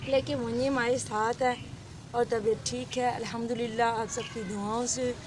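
A young woman with a hoarse throat, chatting casually to her viewers in a vlog and mixing in English words, recorded on a phone at arm's length outdoors. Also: a little high, level tone, normal speed, noisy background. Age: young adult. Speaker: vlogger. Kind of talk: casual vlog chat to camera. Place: outdoors in a rural courtyard with goats. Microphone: phone held at arm's length.

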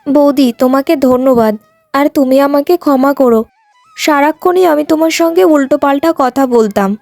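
A very high voice for a vain young woman in a cartoon fairy tale, acted by a woman. She speaks insistently and with feeling, close and dry over faint music. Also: normal speed, gravelly, darkish tone, dry recording. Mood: contrite, eager. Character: vain young long-haired woman, haughty and quarrelsome, later humbled.

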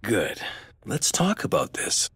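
A man playing a patient, gentle father in a children's cartoon, talking soothingly in simple phrases to a small child. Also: lowish voice, huge pitch swings, slow pace, slightly rough, dry recording, studio quality, heavily acted. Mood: patient, reassuring. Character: gentle, patient cartoon tiger father, teaching his young son.

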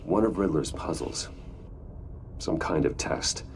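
Very deep, gravelly brooding man. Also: lively intonation, unhurried, a little hiss or hum, exaggerated voice. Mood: serious, focused. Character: brooding adult billionaire businessman, secretly a vigilante detective. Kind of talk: cinematic video game dialogue.

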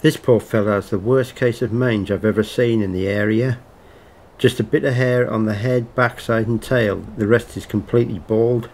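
Casting: man with a lowish voice, plainspoken field narrator, faint outdoor hiss behind. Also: steady tone, normal speed, darkish tone. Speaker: pest controller narrating hunt footage. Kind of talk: calm low-key voice-over narration.

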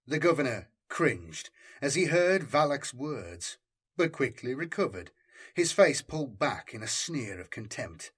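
A man's voice: mid-range voice, big pitch swings, a little slow, fairly bright tone, husky. A man reading military science fiction as an audiobook narrator, in a scripted, dramatic storytelling style.

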